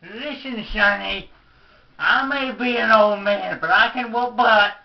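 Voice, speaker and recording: A man's voice: high voice, unhurried, distant mic. A man voicing a feisty, cranky old grandpa who boasts and fusses, in a comedy skit, recorded lo-fi in a roomy space away from the mic with noise. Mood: taunting. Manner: creaky old-man voice, boastful taunts, fussing.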